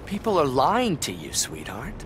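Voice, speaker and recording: A man's voice: mid-range voice, huge pitch swings, moderate pace, very noisy. A man playing a sarcastic, patronising crook in video game fight banter. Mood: condescending. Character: sardonic, condescending crook with a freeze gun.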